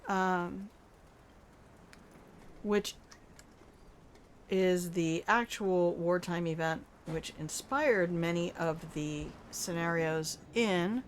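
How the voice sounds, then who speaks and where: An older woman with a somewhat low voice talks through a video game in a relaxed, rambling way, reacting aloud and wandering off into personal stories. Her is Afrikaans. A faint hiss of background noise sits under the recording. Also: very slow, pauses often. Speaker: gaming streamer. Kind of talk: casual gameplay commentary.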